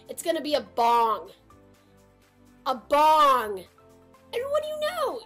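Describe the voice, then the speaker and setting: Young American female storyteller, higher register, dramatic delivery, home vlog setup with some music. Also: fairly bright tone. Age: 20s. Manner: dramatic, emphatic, gesturing. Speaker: storytime vlogger. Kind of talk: animated personal storytelling to camera. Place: small room at home.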